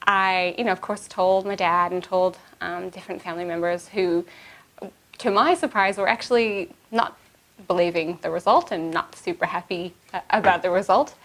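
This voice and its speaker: A woman's voice: lowish voice, low-quality recording. A young Canadian woman with a Canadian accent, chatting casually to camera in a rambling way with many fillers. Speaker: vlogger.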